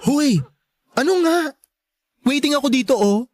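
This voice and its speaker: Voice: high voice, animated, measured pace, dry recording, clean studio sound. An actor playing a playful, teasing, flamboyant best friend in a radio drama, with sassy Filipino delivery and theatrical exclamations. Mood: prying, teasing.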